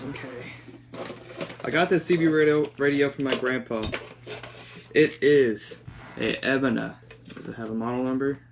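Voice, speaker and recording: A young man casually narrating a hands-on project, half talking to himself in a halting mutter as he works in a living room. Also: mid-range voice. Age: young adult. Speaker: DIY vlogger. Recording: dull lo-fi sound, clear background noise.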